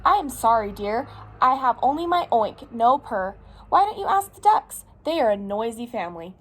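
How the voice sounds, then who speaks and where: A woman's voice: a little high, very animated, moderate pace. A woman voicing kindly storybook barnyard animals, exclaiming their calls and giving helpful replies. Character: barnyard animals, noisy but kindly, calling their sounds. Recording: clear background noise outdoors.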